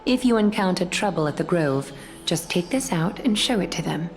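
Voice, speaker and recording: An actress playing a composed, regal noblewoman leader in a video game, speaking formally and in a measured, gracious way. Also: fairly low pitch, lively intonation, faint music behind. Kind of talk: scripted video game dialogue.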